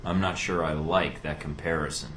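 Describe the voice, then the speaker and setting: A man's voice: a bass voice, steady tone, normal speed, background noise. A man playing an easygoing, inquisitive agent recruit in a video game, speaking casually with a wry edge. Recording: roomy echo, loud game music behind.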